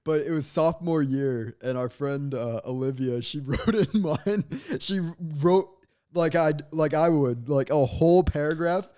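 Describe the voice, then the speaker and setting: A man's voice: a little high, unhurried, dark tone. A man in his twenties co-hosting a podcast, telling rambling stories in casual chat and laughing at his own tales. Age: 20s. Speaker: podcast co-host.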